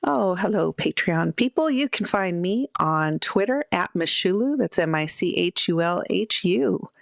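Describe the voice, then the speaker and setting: Low, creaky-voiced woman chatting over noise. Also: expressive, a little slow, dry recording, studio quality. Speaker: tabletop game player on a podcast. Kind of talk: casual in-game chat.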